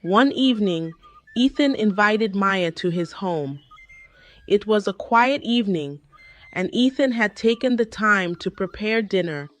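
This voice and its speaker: Slow-paced woman narrating a folktale. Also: mid-range voice. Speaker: storyteller.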